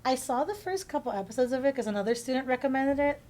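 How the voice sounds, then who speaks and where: A woman's voice: high-pitched, fast talker, darkish tone, a little hiss or hum. A woman from the Philippines chatting informally as she answers questions as a guest on a casual podcast.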